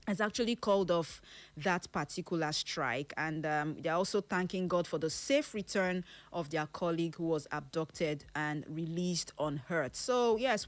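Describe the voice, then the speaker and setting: A woman's voice: fairly low pitch, no room sound. A Nigerian woman hosting a radio health show, chatty and jokey, mixing casual banter with news updates. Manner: chatty, jokey, self-praising. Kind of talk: lively radio talk and news reading. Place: radio studio.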